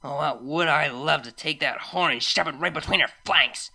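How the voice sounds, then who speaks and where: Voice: medium pitch, lively intonation, normal speed, sharp tone, voice pushed up. A reader voicing a brash, hot-headed, protective pegasus mare in a story. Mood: furious.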